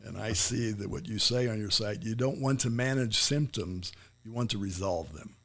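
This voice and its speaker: A man's voice: fairly low pitch, moderate pace, gravelly, a loud crowd. A man speaking as the host and interviewer on a podcast, in a conversational style.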